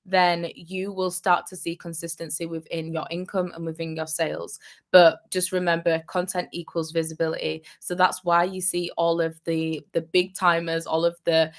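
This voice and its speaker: Upbeat woman coaching, slightly low voice. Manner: upbeat, encouraging, repeats phrases for emphasis. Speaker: business coach. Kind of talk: live-streamed advice vlog.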